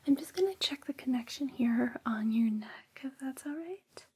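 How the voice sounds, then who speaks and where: A woman performing a whispered ASMR roleplay, gentle, soothing and unhurried, close on a binaural microphone. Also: sits high, lively intonation, slightly rough.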